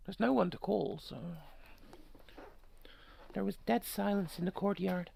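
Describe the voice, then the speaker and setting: A woman's voice: medium pitch, a little slow, vocal fry, warm tone, slight background noise. A woman streaming a game, reading the story aloud with irreverent, sweary, joking commentary. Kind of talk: game playthrough, narration mixed with casual commentary.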